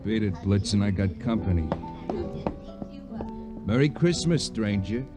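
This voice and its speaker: An actor playing an oily, mock-friendly mob boss who runs a nightclub, in an old radio crime drama. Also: male voice, lowish voice, lively intonation, a little slow, loud music behind the voice. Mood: smug, mocking. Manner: mock-friendly, calls himself by name. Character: smug, oily, grinning mob boss and club owner. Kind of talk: 1940s-50s radio crime drama acting.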